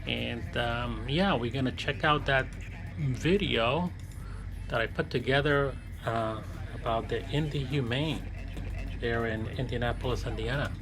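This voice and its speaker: A man's voice: mid-range voice, a little slow, gravelly. Laid-back man narrating a sim flight. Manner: relaxed, many fillers and restarts. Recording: music playing behind.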